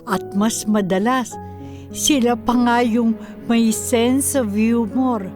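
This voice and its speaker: A woman's voice: fairly high pitch, fairly even intonation, slow pace. A woman with a Filipino accent reading scripted narration for a radio drama. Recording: music behind the voice.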